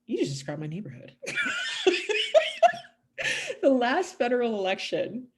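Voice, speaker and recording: A woman's voice: on the high side, a little slow, a little hiss or hum. A woman from Canada, a guest on a podcast, talking casually and emphatically, telling stories with bursts of laughter. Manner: emphatic, animated storytelling with laughs. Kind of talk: casual conversation.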